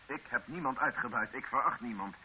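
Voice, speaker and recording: An indignant journalist played by a man protesting his innocence in an old radio play. His voice is mid-pitched, heard through a narrow, lightly hissy recording. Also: fairly quick, close to an everyday voice. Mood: indignant, defensive. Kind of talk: old radio drama acting.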